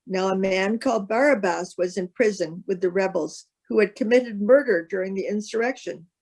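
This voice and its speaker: A woman narrating a solemn Bible reading as a scripted piece, with measured, careful phrasing. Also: medium pitch, darkish tone, husky.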